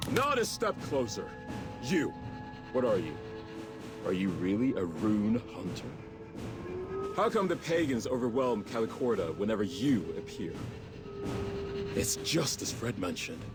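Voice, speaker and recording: A man's voice: medium pitch, big pitch swings, a little slow, pauses often, music in the background. A man playing a suspicious, confrontational guard in a video game cutscene, speaking accusingly. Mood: hostile, suspicious. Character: hostile, suspicious male guard confronting a hunter.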